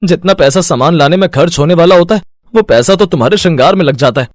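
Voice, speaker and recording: A man's voice: a little high, quick pace, dry recording, lightly acted. Man playing a henpecked village street vendor, dry recording. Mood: grumbling. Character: weary, henpecked village chicken-lollipop street vendor husband. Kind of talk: animated moral-story voice acting.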